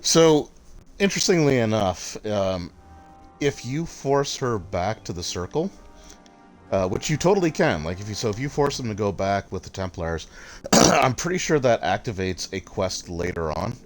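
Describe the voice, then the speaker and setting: Deep-voiced rambling game streamer man. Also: very animated, normal speed, soft background music. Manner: rambling, many fillers. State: voice getting tired. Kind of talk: casual game commentary.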